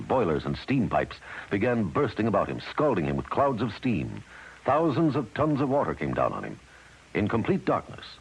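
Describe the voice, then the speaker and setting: A man with an American accent and a husky voice, reading a solemn scripted narration on a vintage radio broadcast. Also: sits low, poor audio.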